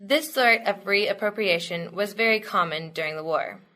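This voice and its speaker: A woman's voice: medium pitch, moderate pace, sharp tone. A woman reading scripted documentary narration as the narrator of an amateur radio production.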